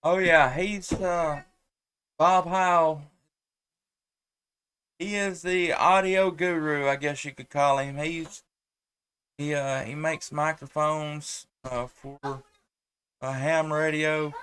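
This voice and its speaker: A man's voice: a little high, fairly even intonation, takes it slowly, pauses often, a bit bright, clear, resonant tone. A man, chatting casually and rambling as a ham radio operator on a headset microphone. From United States. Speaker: amateur radio operator and live stream host. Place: at home indoors.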